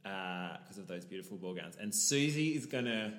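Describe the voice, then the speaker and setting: A man talks with a lowish voice in a casual, playful chat. He laughs easily and restarts his sentences. His voice echoes around a roomy space. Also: animated, moderate pace. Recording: roomy echo.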